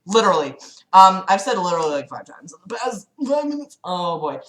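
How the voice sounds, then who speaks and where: A woman's voice: lowish voice, very animated, fairly quick, roomy sound, poor audio. A woman hosting a livestream, reading a book aloud mockingly with sarcastic, exasperated asides and casual swearing. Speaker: livestream host. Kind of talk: mocking read-aloud of a book with casual commentary to live chat.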